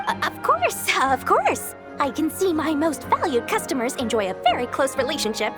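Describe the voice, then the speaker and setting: Very high, girlish flattering merchant. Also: normal speed, music in the background. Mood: effusive. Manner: effusive, flowery salesperson's patter. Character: small girlish merchant, flattering, money-minded, theatrical. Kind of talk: animated video game voice acting.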